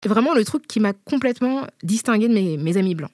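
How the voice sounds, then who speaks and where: A woman's voice: mid-range voice, animated, a little fast, darkish tone, faint music behind. A young adult woman from the Paris area, chatting casually and thoughtfully with frequent fillers and restarts. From Paris area, France. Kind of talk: casual conversational chat.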